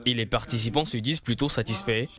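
A man with an Ivorian French accent, reading a scripted TV news report voice-over in a measured way. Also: mid-range voice, fairly bright tone.

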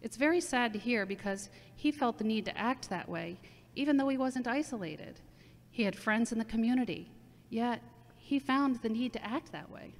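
A woman's voice: a little high, animated. A woman playing a solemn, composed city mayor speaking diplomatically in a radio interview, in an amateur audio drama. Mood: solemn, diplomatic. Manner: measured, diplomatic. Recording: reverberant hall-like sound.

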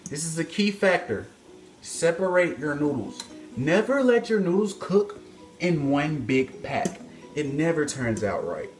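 A man's voice: fairly high pitch, very animated, measured pace, soft background music. A young man hosting a mock-serious home cooking video, playing the expert and joking with his viewers while he moves around the stove. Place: home kitchen, indoors. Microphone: phone camera on a stand, several feet away. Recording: echoey kitchen.

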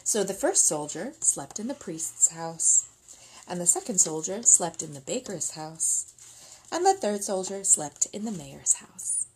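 A woman's voice: lowish voice, sing-song, unhurried, mellow, slight background noise, low-quality recording. A middle-aged woman reading a picture book aloud expressively as a storytime reader, indoors at a table.

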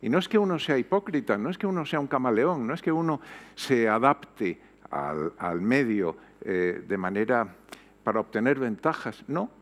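A man's voice: medium pitch, sing-song, normal speed. An older man speaking as a panel guest on an auditorium stage, reflective and emphatic, with frequent hesitations. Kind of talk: panel discussion. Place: auditorium stage before an audience. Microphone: headset mic.

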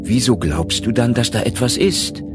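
A man playing an impatient, authoritative doctor who speaks briskly in an audiobook. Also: mid-range voice, over loud music, pitched above the performer's own voice, natural voice. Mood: probing. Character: confident, impatient male small-town doctor.

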